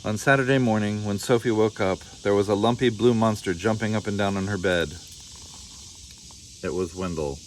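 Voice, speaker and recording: Male storybook reader, lowish voice, expressive narration for children, faint ambient noise. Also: normal speed, poor audio.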